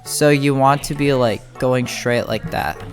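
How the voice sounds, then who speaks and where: A casual gameplay guide host giving tutorial commentary in an informal, halting way, thinking aloud. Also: medium pitch. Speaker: game guide video host. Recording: music behind the voice. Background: music.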